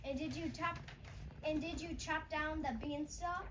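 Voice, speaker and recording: A very high-pitched child acting as a stern judge in a school play. The voice echoes in a noisy room, far from the microphone. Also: steady tone, measured pace, fairly bright tone. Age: child, third grader. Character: stern fairy tale courtroom judge questioning Jack. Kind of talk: school reader's theatre performance.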